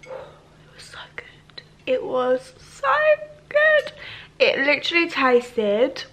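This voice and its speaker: A woman in her twenties chatting excitedly to camera in a casual home cooking vlog, full of fillers. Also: sits high, takes it slowly. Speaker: vlogger. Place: home kitchen and living room, indoors.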